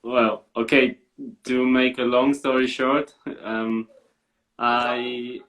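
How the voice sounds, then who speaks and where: Slow-talking man, easygoing. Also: mid-range voice, level tone, fairly bright tone, rough recording. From Switzerland. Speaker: football coach, guest. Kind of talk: casual interview answers in English.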